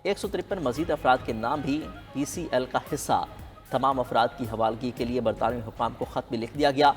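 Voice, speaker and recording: Male news reader w pakistani accent, echoing hall sound, soft music behind. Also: medium pitch, brisk. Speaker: news headlines anchor. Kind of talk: scripted news headline reading. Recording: long reverb with music underneath. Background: music bed.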